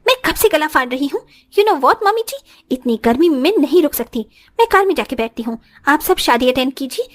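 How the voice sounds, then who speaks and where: A woman's voice: high-pitched, expressive, brisk. A woman voicing a haughty, spoiled young working daughter-in-law in a moral story cartoon, scornful and dropping English phrases into her Hindi.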